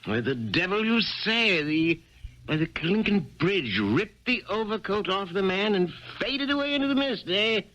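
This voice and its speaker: A pompous, self-important old general from a radio drama. He blusters and hems at an unhurried pace in a fairly high male register, on a dull vintage broadcast with music underneath. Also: animated, pushed and bright, exaggerated voice. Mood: blustering indignation. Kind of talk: 1970s radio drama acting.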